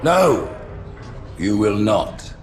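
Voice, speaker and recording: A man's voice: on the low side, big pitch swings, drawn-out words, loud music behind the voice, heavily acted. A man voicing a regal, coldly eloquent alien prophet in a sci-fi game, grave and oratorical. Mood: grave, judging. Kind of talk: cinematic sci-fi game acting. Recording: loud orchestral score behind.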